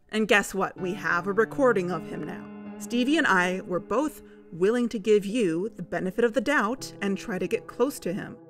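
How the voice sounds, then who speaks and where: Actress as female investigator, blunt, sarcastic, average pitch, conversational audio drama, soft music. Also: natural voice.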